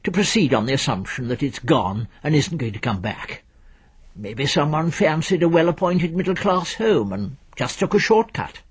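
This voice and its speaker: A man voicing a brisk, matter-of-fact male official in an audiobook, casual and businesslike. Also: fairly high pitch, big pitch swings, slightly rough.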